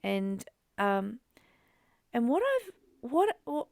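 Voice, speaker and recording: Woman leading a spiritual study chat, high creaky voice, confiding, repeats stuff for emphasis. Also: very animated, drawn-out words, a little mellow, no room sound, clean studio sound. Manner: emphatic, repeats phrases for weight; confiding, emotionally open. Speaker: host of a spiritual book study. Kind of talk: reflective spiritual discussion.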